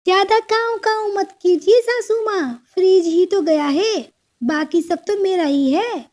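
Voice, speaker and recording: A haughty young female crow from a cartoon story, acted by a woman. Her voice is very high yet soft-edged, and she scolds slowly with bossy scorn. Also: slight background noise. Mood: defiant. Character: haughty, sharp-tongued young female crow daughter-in-law.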